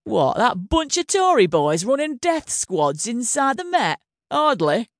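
A woman's voice: mid-range voice, animated, moderate pace, dry recording, studio quality, natural voice. A woman voicing a blunt, foul-mouthed Northern English female Home Secretary with a swaggering, crude manner. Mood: scornful. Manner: blunt, crude, teasing then menacing.

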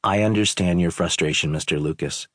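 A man voices a worn-out resort owner answering a complaint with strained politeness. The voice stays low and husky, recorded dry and close to the mic. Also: a little fast, a bit bright, dry recording. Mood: strained polite. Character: weary male resort owner, politely tense.

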